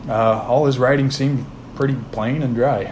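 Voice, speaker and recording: A man hosting a podcast, talking through history in a plain, unhurried way with frequent "uh" fillers and restarts. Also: mid-range voice, steady tone, noisy background. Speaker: podcast host. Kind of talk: informal history talk.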